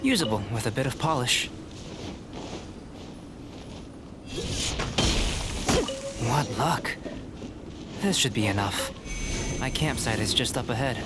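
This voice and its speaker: A man voicing a composed young alchemist in a video game, speaking in a measured, lecturing way. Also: mid-range voice, lively intonation, very noisy, close to an everyday voice. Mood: calm. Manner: measured, lecturing, coolly confident. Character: calm young male alchemist scholar, composed and aloof. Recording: studio game voice with music behind. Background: game music.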